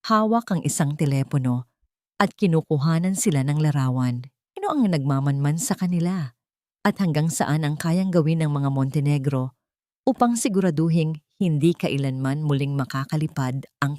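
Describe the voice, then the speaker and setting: A woman reading a scripted drama story in an even, measured narrating voice. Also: low-pitched, no echo, clean studio sound.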